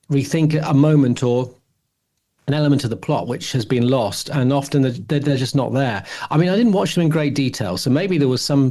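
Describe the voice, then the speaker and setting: A man's voice: mid-range voice, dark tone. A middle-aged man talking casually as a podcast co-host, thinking aloud in a reflective, rambling way. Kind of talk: casual discussion.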